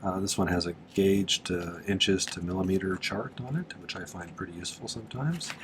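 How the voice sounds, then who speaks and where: A low-pitched man speaks in an easygoing, conversational way, walking viewers through a workshop project step by step. His voice is a little stuffy and nasal from congestion, and he fills his pauses with "uh." A faint room hum sits underneath. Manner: relaxed and chatty, with frequent "uh" fillers and small laughs. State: congested from allergies, slightly nasal. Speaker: silversmith teaching on his channel. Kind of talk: casual how-to narration.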